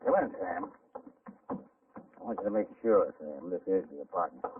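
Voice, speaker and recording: An actor playing a skeptical, patient police lieutenant, measured and plain-spoken in 1940s radio style, on a muffled old recording. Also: male voice, low-pitched, animated, distant mic, noisy background.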